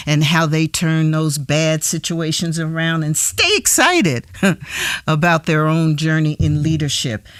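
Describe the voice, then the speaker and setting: A woman hosting an interview show, reading an upbeat introduction and then chatting with her guest with quick, effusive affirmations. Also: low-pitched, dry recording. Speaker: show host.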